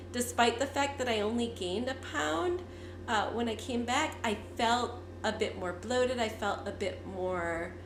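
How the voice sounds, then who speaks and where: A fifty-year-old woman, hoarse and congested from a cold, chatting candidly to her viewers. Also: mid-range voice, reverberant, background noise. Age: 50s. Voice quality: raspy. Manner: candid, chatty, with frequent fillers. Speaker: vlogger. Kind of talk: casual talk to camera.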